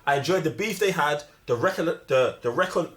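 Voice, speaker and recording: Animated young London man, higher-pitched voice. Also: a little fast. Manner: opinionated, emphatic, repeats himself. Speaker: movie review podcast host. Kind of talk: casual, slang-heavy film discussion.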